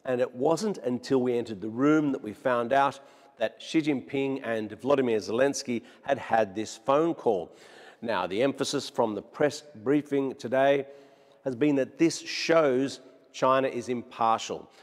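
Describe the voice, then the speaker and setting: A man's voice: mid-range voice, measured pace. A man giving an explanatory news report as a foreign correspondent. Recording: long reverberant room echo.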